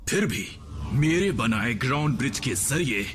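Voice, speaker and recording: Support: gruff robot medic-inventor, dry and grumbling. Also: male voice, mid-range voice, animated, a little slow, a bit bright, a little hiss or hum. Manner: dry and grumbling, explains technology. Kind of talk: Hindi cartoon dub.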